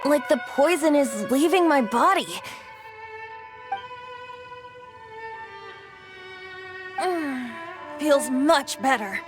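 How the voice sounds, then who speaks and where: A woman playing a gentle, wistful young male bard in a fantasy video game, speaking in eloquent, poetic, measured phrases. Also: sits high, animated. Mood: serene. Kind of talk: scripted video game dialogue. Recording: studio voice with music behind.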